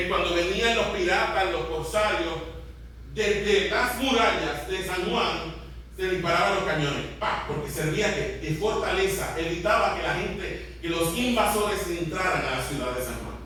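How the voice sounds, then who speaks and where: A very echoey, distant recording with faint noise carries a male pastor with a Puerto Rican accent. His voice sits high for a man. He teaches interactively, putting questions to his congregation as he explains. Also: unhurried, sharp tone. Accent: Puerto Rican Spanish. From Puerto Rico. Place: large echoey room, church service.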